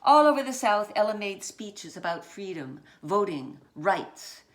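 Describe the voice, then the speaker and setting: A woman's voice: medium pitch, big pitch swings, slight background noise. Woman author reading a picture book aloud. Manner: deliberate short phrases, dramatic emphasis on key words. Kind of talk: scripted narration, children's picture book read aloud.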